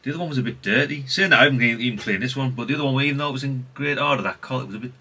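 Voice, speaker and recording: A man's voice: medium pitch, racing, sharp tone, breathy edge. A middle-aged man from chats unscripted as a hobbyist vlogger, rambling and conversational with repeated catchphrases. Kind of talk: unscripted casual chat, showing an item.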